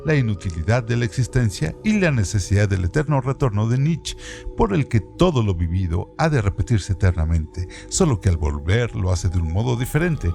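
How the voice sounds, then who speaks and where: A man presenting a scripted trivia segment on a radio show in a clear, informative way. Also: fairly low pitch, big pitch swings, normal speed, darkish tone. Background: music bed.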